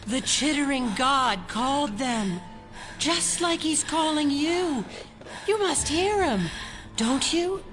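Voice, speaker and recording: Creepy fanatic cult lady, really slow n taunting, highish voice, loud drama music behind. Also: animated. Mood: sinister, taunting. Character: menacing fanatical female cultist killer, devoted to a god. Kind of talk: audio drama acting. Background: loud suspense music.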